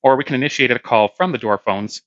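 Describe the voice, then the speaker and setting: A man's voice: mid-range voice, fast, fairly bright tone, no echo, muffled recording. A man giving a hands-on product demonstration, explaining plainly step by step.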